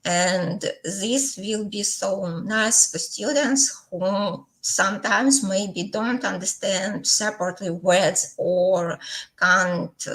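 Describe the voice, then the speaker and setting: Slow-speaking Ukrainian-accented woman. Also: mid-range voice, a bit bright, low-quality recording. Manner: hesitant, many fillers, non-native phrasing. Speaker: teacher trainer presenting. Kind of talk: webinar presentation.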